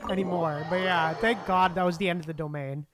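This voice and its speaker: A woman's voice: fairly low pitch, fairly even intonation, a little fast. A woman giving casual, joking live commentary as a game streamer, laid-back and loose with swearing. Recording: game music behind the voice. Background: game music.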